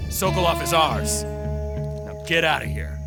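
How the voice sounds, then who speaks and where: An actor playing an agitated, defiant soldier in a video game, urgent and confrontational. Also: male voice, high voice, very animated, slow, exaggerated voice. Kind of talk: dramatic video game voice acting. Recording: loud music behind the voice. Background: loud music.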